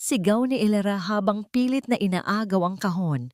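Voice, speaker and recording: A woman's voice: mid-range voice, moderate pace, a little mellow, no echo, studio quality. A woman narrator reading a scripted love drama in a solemn, dramatic manner.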